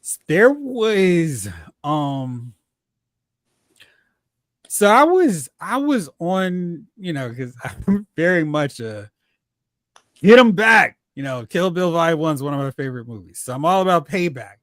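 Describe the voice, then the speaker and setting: A host chatting casually about pop culture, retelling reactions in slangy, animated asides. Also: mid-range voice, a little slow, dry recording, clean studio sound. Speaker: host of a film and TV discussion show.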